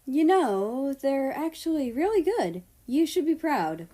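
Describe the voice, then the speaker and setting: A woman's voice: sits high, very animated, a little slow, dark tone, voice pushed up. A woman voicing a teasing young man, a personified country in a story, with casual, sarcastic banter.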